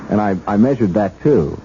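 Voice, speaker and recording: Dull hissy radio recording, stammering over-friendly policeman, mellow voice, folksy, quietly shrewd. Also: on the low side. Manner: hesitant, stammering, folksy, falsely apologetic. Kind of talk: 1970s radio drama acting.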